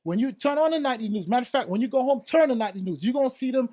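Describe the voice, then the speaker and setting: A man's voice: extremely high pitch, expressive, racing, mellow. A man from South Florida with an American accent, debating heatedly and insistently on a podcast, often cutting in and calling the others bro. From South Florida, United States. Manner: argumentative, insistent, interrupting, repeatedly addressing others as bro. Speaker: podcast panelist.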